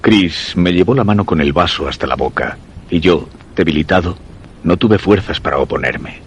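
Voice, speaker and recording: An actor playing a weakened, dread-stricken husband narrating a macabre tale in grave, measured Castilian Spanish for a radio horror drama. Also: male voice, low voice, expressive, slight background noise. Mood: grim, weary. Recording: dull radio sound with music underneath.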